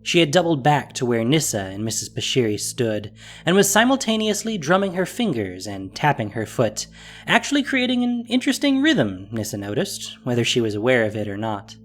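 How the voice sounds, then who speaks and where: A narrator reading a scripted fantasy story with expressive, descriptive delivery. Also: medium pitch, a little fast, soft background music.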